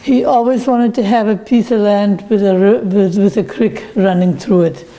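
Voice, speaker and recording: A woman's voice: mid-range voice, fairly even intonation, normal speed, dark tone. An older woman from Germany, a winery owner, telling in a plain, storytelling way how she came to America. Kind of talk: oral history interview.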